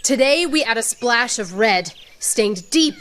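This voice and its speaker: A woman's voice: a little high, expressive, measured pace, a bit bright. A woman playing a proud, witty soldier-poet with a wry, poetic way of speaking, in radio theatre. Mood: wistful, poetic.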